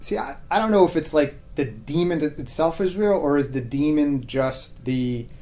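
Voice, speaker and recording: Guy on a podcast, soft mellow tone, kinda halting, musing out loud in a casual chat. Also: fairly high pitch. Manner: halting, thinking aloud, hedged phrasing. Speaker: podcast guest, teacher. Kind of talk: casual reflective conversation.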